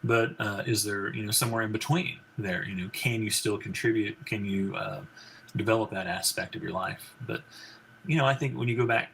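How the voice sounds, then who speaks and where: A man in his forties with a baritone voice, a physician and country singer talking as an interview guest, rambling with many fillers and restarts. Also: fairly even intonation, normal speed, creaky, fairly bright tone, slight background noise. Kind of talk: casual interview conversation.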